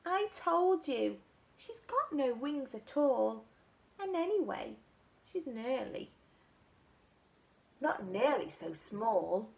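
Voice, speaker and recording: A woman voicing an anxious little monkey searching for its mum, reading rhymes in a sing-song way with high cries of protest. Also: very animated, slow, gravelly, pauses often, exaggerated voice. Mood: fretful. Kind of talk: storybook read-aloud.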